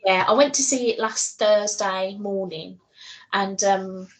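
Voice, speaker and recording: A woman's voice: medium pitch, fairly even intonation, unhurried. A woman chatting casually as a podcast co-host, giggly and talkative, with a loose, sweary way of talking.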